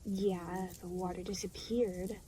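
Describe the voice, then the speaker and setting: A woman's voice: on the low side, fairly even intonation, slow, noisy background. A woman playing an aloof, exasperated demon in an audio roleplay, talking to the listener in a dry, sarcastic, weary way. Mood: weary, sardonic. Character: aloof, exasperated demon, reluctantly babysitting a new soul.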